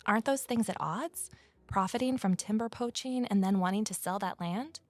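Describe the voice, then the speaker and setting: An earnest amateur sleuth and narrator in an audio drama, played by a woman. Her mid-pitched voice is inquisitive and sincere, with faint music underneath. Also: normal speed, darkish tone, no room sound, lightly acted. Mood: deducing. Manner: earnest, questioning. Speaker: lead character and narrator. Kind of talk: audio drama acting and first-person narration.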